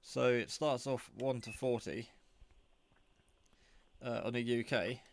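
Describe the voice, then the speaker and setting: Man, low-voiced, casual and rambling. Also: fairly even intonation, slow pace, creaky, dry recording. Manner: rambling, informal. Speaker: radio hobbyist showing a handset. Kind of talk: casual product show-and-tell.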